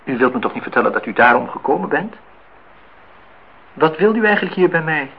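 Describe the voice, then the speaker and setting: A man's voice: fairly high pitch, animated, brisk, husky. Wry, probing man, muffled recording. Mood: teasing, challenging. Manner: dry, teasing. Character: wry, probing man questioning a young woman. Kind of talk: Dutch radio play acting. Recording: dull, noisy old radio recording.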